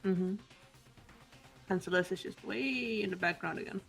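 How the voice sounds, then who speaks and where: A woman's voice: lowish voice, normal speed, gravelly, loud music behind the voice. A woman chatting casually in a group game playthrough, making dry, observant remarks. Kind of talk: casual chat.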